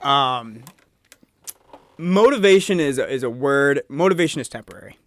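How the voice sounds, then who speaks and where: A man's voice: mid-range voice, animated, normal speed, fairly bright tone, no echo. A man in his early twenties co-hosting a podcast, talking earnestly in casual chat, swearing and asking "right?" a lot. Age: 20s. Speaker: podcast co-host.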